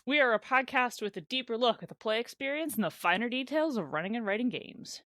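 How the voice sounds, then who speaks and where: A woman's voice: fairly high pitch, expressive, quick pace, fairly bright tone, dry recording. Woman podcast host, very dry close sound. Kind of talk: casual chat.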